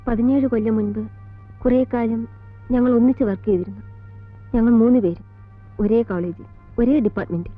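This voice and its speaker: An actress playing a composed middle-aged college teacher in a film drama, speaking in a measured Malayali accent with deliberate pauses between short phrases. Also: female voice, fairly high pitch, steady tone, natural voice. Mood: sombre, reflective. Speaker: actor in a film. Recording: tinny band-limited old film soundtrack, music behind.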